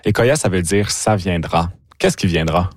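Low-pitched radio interviewer, dry sound. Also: male voice, expressive, normal speed, dry recording.

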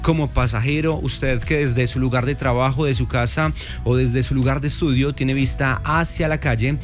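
A man with a Colombian accent hosting a morning radio traffic segment, brisk and upbeat on a studio microphone. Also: medium pitch, steady tone, faint music behind. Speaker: radio host.

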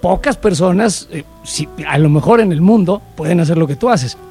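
A man with a Mexican Spanish accent and a high-pitched voice co-hosts a casual chat. He is effusive and teasing, speaking emphatically over faint background music. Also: animated, moderate pace, warm tone. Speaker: co-host. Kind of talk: casual, joking interview chat.

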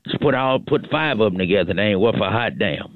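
A man's voice: on the low side, animated, a little fast, narrow, tinny audio, a little hiss or hum, lightly acted. A man voicing a cantankerous old great-grandmother on the phone, rambling, repeating herself and cussing. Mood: scornful. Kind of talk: prank phone call comedy bit.

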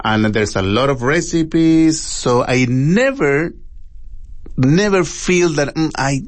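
A man from Chile with a Chilean Spanish accent, speaking halting English conversationally as an interview guest. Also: on the high side, expressive, no room sound. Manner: halting non-native English with repeated tags. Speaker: empanada shop owner, interview guest.

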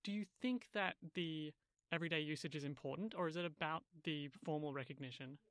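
Higher-voiced male reporter, dry sound. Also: brisk, fairly bright tone, dry recording. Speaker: radio reporter and interviewer. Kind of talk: scripted radio narration and interview questions.

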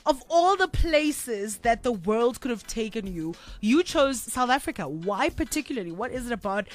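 A woman's voice: on the high side, sing-song, normal speed. A woman hosting a radio show in the studio, introducing and questioning a guest with enthusiasm. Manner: warm-hearted, enthusiastic, affirming. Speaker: radio host. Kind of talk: radio interview.

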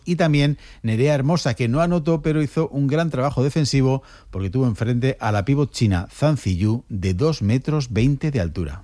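A man with a Spanish accent from Spain, anchoring a morning radio news bulletin in a measured newsreader manner. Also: medium pitch, mellow, no room sound, clean studio sound. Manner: brisk, measured newsreader delivery.

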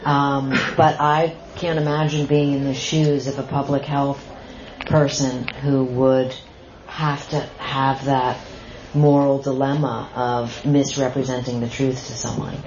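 Rich: An earnest woman author at a bookstore talk, restarting her thoughts and reflecting aloud. She speaks at a middle pitch. The recording is muffled, with faint noise underneath. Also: level tone, measured pace, darkish tone. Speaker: author and journalist. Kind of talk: book talk and audience Q&A. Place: bookstore event.

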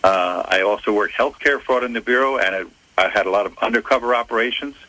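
An older man from Philadelphia, a retired FBI agent, answering interview questions in a measured way, often correcting himself. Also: on the low side, sharp tone, dry recording, poor audio. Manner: measured, self-correcting storyteller. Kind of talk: interview answers, recounting career.

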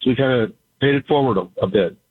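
A man who founded a company, a guest on a radio talk show, raspy-voiced and relaxed, talking with lots of fillers. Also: mid-range voice, quick pace, no room sound. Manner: relaxed, grateful, hesitant with many fillers. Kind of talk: casual radio interview. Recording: dry, tinny phone line.

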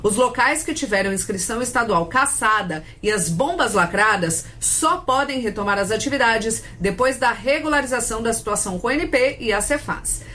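A woman's voice: a little high, fairly bright tone, a little hiss or hum. A woman with a Brazilian accent reading a scripted radio news report.

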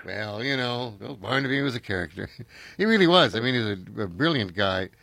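A man's voice: mid-range voice, lively intonation, fairly quick, creaky, a bit bright, no room sound. An older man, hosting a casual chat and reminiscing in a rambling way, full of fillers and restarts.

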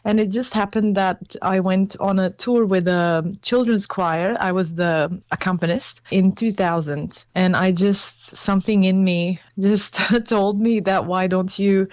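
Middle aged lady from lebanon being interviewed, thoughtful, mid pitch, very dry recording. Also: fairly even intonation, a little slow, hardly any pauses, a little mellow, no echo. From Beirut, Lebanon. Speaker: interview guest, jazz pianist. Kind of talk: conversational interview.

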